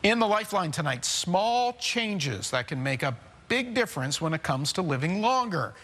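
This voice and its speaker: A man's voice: high-pitched, very animated, normal speed, fairly bright tone. A man, a news anchor interviewing a guest and introducing segments, conversational with some fillers. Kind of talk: live studio interview and news links.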